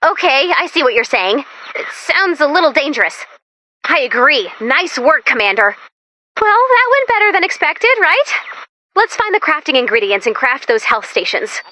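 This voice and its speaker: A high, bright-voiced female robot sidekick from a video game. She is perky and neurotic, swinging all over her range with dramatic, self-deprecating flair. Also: sing-song, normal speed, no room sound, heavily acted. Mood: upbeat, urgent. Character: excitable, anxious, sarcastic female robot helper. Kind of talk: animated video game voice acting.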